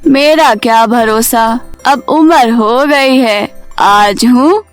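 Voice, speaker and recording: A woman's voice: on the high side, very slow, faint music behind. A woman voicing an elderly grandmother in a cartoon story, an insistent, complaining mother-in-law. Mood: pleading, weary.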